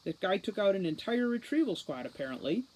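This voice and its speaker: A woman's voice: medium pitch, sing-song, a little fast, darkish tone, poor audio. A crude little male dwarf thief from a fantasy storybook, put on by a woman reader. He is cocky and self-serving, talking in a boastful, wry way. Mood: sardonic. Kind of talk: audiobook children's fantasy character voice.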